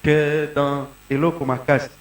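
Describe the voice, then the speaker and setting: A man from Congo preaching a sermon in short phrases, pausing so an interpreter can follow. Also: a little high, level tone, reverberant. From Congo (DRC). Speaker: pastor.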